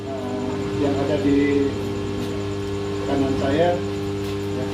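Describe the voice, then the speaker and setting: A man from West Java speaks casually to a meeting, his voice set a little high and padded with friendly fillers. He sounds far from the mic in a strongly echoing room. Music plays clearly behind him. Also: muffled recording. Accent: Indonesian, not typically Sundanese. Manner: chatty, frequent "ya kan" and "nah" fillers. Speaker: army district officer addressing a radio community meeting. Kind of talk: informal speech to a gathering.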